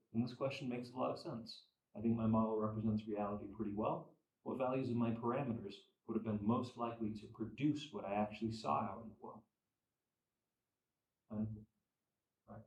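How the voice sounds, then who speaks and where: Echoey, distant male statistics lecturer. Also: on the low side. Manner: repeats phrases, checks understanding with "right?". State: losing his voice, clears throat. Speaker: statistics instructor. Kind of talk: classroom lecture. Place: classroom at a whiteboard. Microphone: far camera. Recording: echoey room, from far off.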